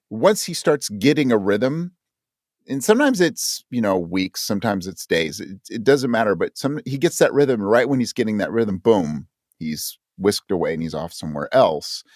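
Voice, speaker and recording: A man's voice: mid-range voice, no room sound, clean studio sound. A man talking as an interview guest in a casual, reflective way, thoughtfully rephrasing as he goes. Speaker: interview guest, audio drama creator.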